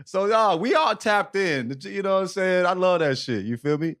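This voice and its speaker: A man's voice: high-pitched, expressive, moderate pace, fairly bright tone. A man co-hosting a casual comedy podcast, talking in profane slang and repeating phrases for emphasis. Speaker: podcast co-host.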